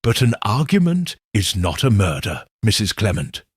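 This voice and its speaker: A man voicing a shrewd elderly village spinster, speaking in a gentle, probing, knowing way. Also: lowish voice, sing-song, moderate pace, husky, dry recording, studio quality. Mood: shrewd musing.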